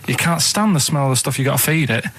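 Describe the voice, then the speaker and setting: A man with a Manchester accent co-hosting a comedy radio show in casual banter, halting and matter-of-fact as he explains himself. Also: mid-range voice, lively intonation, fairly bright tone.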